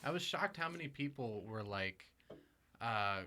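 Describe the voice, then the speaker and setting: A man's voice: mid-range voice, lively intonation, a little slow, sharp tone. A man from California, a podcast co-host, chatting casually in a laid-back way with dry humor. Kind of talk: casual chat.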